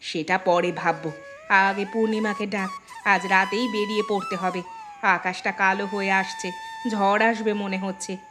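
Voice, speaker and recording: A woman's voice: medium pitch, fairly even intonation, normal speed, music in the background, voice pushed down, close to an everyday voice. Woman acting as protective elder village woman, decisive, reassuring, folk-tale storytelling. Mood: resolved, bitter. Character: resolute protective eldest daughter-in-law, a village woman. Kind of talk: Bengali folk tale dramatization.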